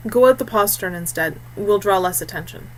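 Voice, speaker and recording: A woman's voice: medium pitch, moderate pace, a little hiss or hum, natural voice, low-quality recording. A woman voicing a resolute, protective young man, heir to a fort, firm and blunt, in an audiobook. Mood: firm, flat.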